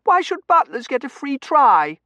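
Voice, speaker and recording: A man's voice: very high-pitched, very animated, a little slow, pushed and bright, no room sound, voice pushed up, full character voice. A man voicing a bossy, whiny, self-righteous little Victorian girl in an audiobook. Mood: indignant wailing. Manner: wailing, insistent, self-righteous. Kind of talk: audiobook character acting.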